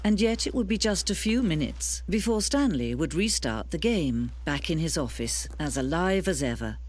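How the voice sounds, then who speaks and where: A woman voicing a calm, knowing female narrator in a video game, speaking slowly and softly. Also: low voice.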